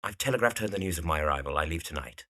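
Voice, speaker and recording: A man playing an earnest, lovesick young gentleman architect with a formal way of speaking. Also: extremely low pitch, expressive, racing, heavily acted. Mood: businesslike. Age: young adult.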